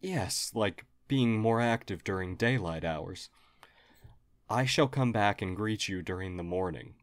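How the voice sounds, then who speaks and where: A man's voice: fairly low pitch, a little slow, no echo, clean studio sound, close to an everyday voice. A man playing a friendly alien historian, formal, earnest and hesitantly apologetic, in an audio roleplay. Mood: earnest, polite. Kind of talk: audio roleplay acting, addressed to listener.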